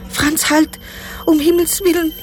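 An actress playing an anxious, uneasy woman in a radio drama, speaking in a hushed, apprehensive way. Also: very high voice, flat delivery, measured pace, faint music behind. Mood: terrified, pleading. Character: anxious woman, uneasy with her menacing lover. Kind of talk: literary radio drama acting.

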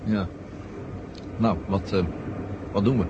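A man's voice: fairly low pitch, steady tone, slow, dull audio, noisy background. An actor playing a cool, agreeable, laconic ex-astronaut newsman in a vintage radio play. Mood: thoughtful. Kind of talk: 1970 radio play acting.

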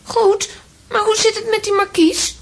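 Very high-pitched excitable little girl. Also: measured pace. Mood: indignant, insistent. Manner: dramatic, exclaiming, headstrong. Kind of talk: children's audio drama acting.

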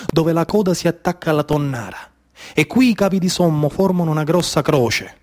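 A man with a Sicilian accent performing a theatrical storytelling monologue in a rhythmic, incantatory manner, with repeated phrases and dramatic pauses. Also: a little high. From Sicily, Trapani area. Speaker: actor and storyteller.

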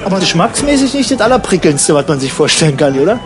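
A man's voice: sits high, very animated, quick pace, music in the background. A man playing a scatterbrained, boastful traveller in a comic radio play, with a Berlin accent and a rambling, repetitive, colloquial way of talking. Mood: casual, doubting. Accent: Berlin German. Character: scatterbrained, boastful male traveller proud of forgetting things.

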